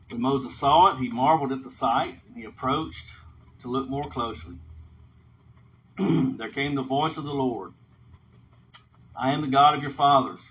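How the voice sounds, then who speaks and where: A man's voice: medium pitch, fairly bright tone. A man giving an informal Bible lesson as a teacher, reading aloud and explaining in an instructive way. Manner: explanatory, often checks in with a short "okay?". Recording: dull lo-fi sound, faint background noise.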